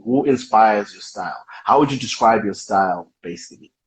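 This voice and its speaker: Husky low-voiced man interviewing. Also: a little fast. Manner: soft-spoken, frequent "mm" backchannels. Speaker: interviewer, magazine online editor. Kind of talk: casual video-call interview. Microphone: video call.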